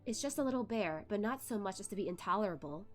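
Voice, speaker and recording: A woman's voice: mid-range voice, animated, fast talker, faint music behind, close to an everyday voice. A woman voicing a stern, aloof young male immortal master who speaks in cold, clipped, scolding lines.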